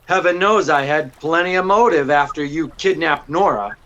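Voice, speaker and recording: An actor playing a witty, self-assured detective, explaining things in an unruffled, dryly courteous way in 1940s radio drama style. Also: male voice, a little high, moderate pace, a bit bright, dull audio, a little hiss or hum. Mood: explaining coolly. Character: witty, self-assured male amateur detective laying out his deduction.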